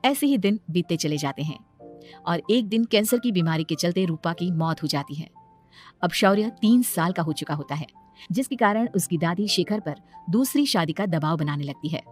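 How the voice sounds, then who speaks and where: A woman's voice: lowish voice, expressive, moderate pace. Woman narrating a story over music. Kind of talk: scripted narration of a moral story.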